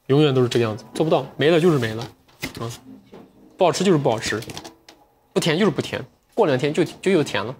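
A man's voice: medium pitch, fast talker, long pauses, a little hiss or hum. A young man hosting a livestream from an indoor studio, chatting to viewers, selling goods and talking about a book. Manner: lively, talks straight to viewers. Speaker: livestream sales host.

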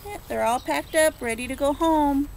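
A woman in her forties, a preschool teacher, reads a rhyming picture book aloud to children and stops to ask them gentle questions. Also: high voice, creaky, slight background noise. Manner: slow rhythmic rhyme reading with gentle questions to children. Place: outdoors on a front porch. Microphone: phone or laptop camera at close range.

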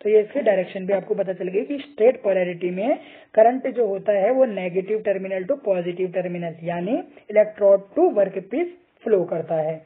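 A man's voice: high-pitched, measured pace, slightly rough. A male teacher patiently going through quiz questions and answers, reading each option aloud and mixing in English technical terms. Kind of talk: explaining quiz answers over slides. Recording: tinny phone-like sound.

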